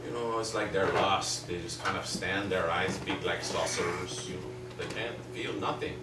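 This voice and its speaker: A man's voice: low-pitched, moderate pace, music in the background, close to an everyday voice. A man playing a taunting crude boyfriend. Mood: musing. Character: mocking, crude adult boyfriend. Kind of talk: stage drama acting. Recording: echoey room, distant mic, faint noise.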